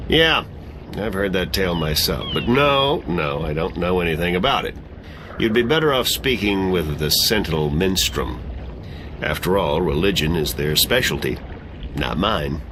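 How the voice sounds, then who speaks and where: A man's voice: low-pitched, expressive, moderate pace, background noise. An actor playing a sardonic middle-aged exile who laughs at his own jokes and loves whiskey.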